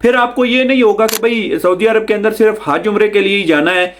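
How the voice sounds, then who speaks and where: Male vlog host, notably high voice, conversational explainer delivery, emphatic repetition, speaks directly to viewers. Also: a little fast, slight background noise. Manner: explanatory, repeats phrases for emphasis, addresses listener directly. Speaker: video host.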